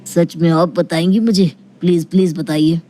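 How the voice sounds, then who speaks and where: Casting: performer as fussy male doctor in a comic story, mellow dark tone, plaintive and confiding. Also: mid-range voice, fairly even intonation, normal speed, lightly acted. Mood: exasperated, confiding. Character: fussy male doctor obsessed with mopping floors, exasperated.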